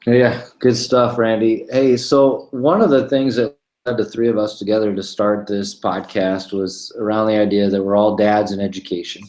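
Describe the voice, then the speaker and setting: A man's voice: fairly low pitch, flat delivery, moderate pace, a little mellow. A man in his thirties co-hosting a podcast, asking a long, rambling question with many fillers. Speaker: podcast co-host. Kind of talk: casual interview question. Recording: roomy echo.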